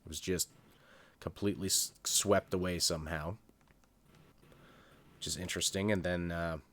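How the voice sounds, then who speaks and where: Low-voiced man chatting through a TV review. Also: steady tone, moderate pace, a bit bright. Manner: hesitant, rambling, thinking aloud. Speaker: co-host of a review show. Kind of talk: casual unscripted TV review chat.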